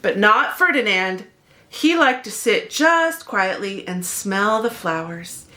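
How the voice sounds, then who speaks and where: A woman's voice: mid-range voice, very animated, slow, bright, forceful tone. A woman narrating a children's picture book expressively, as a read-aloud host speaking to young listeners.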